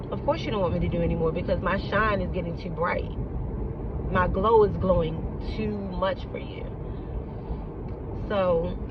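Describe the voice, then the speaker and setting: A woman's voice: on the low side, far from the mic. A woman with a Baltimore accent talking to camera from a moving car, earnest and reflective, repeating phrases for emphasis. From Baltimore, United States. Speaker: vlogger. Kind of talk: reflective casual monologue. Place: in a moving car on city streets. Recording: dull phone audio with loud road noise.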